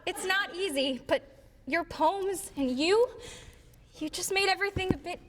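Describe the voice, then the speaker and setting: A woman's voice: very high voice, a little slow, crowd noise. An actress playing a popular, anxious and affectionate teenage schoolgirl in a staged musical. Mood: tender. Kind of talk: staged musical theatre acting. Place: live staged reading before an audience. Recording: echoey live stage hall, music behind.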